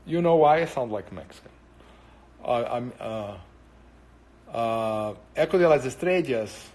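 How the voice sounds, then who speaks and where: A Brazilian man speaks English with a Brazilian Portuguese accent, Portuguese being his first language. He chats loosely through a live stream, answering viewers as thoughts come to him. He rambles and pauses often, filling gaps with plenty of hesitations. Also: medium pitch, lively intonation, darkish tone. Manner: rambling, hesitant with many fillers. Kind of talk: casual live-stream chat.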